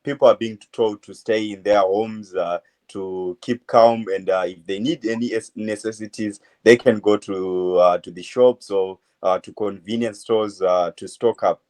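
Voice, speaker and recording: A man in his twenties from Zimbabwe, a medical student answering interview questions in a halting way, full of uh fillers. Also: fairly low pitch, steady tone, a little mellow. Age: 20s. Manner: hesitant, many uh fillers, halting phrases. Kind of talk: phone interview.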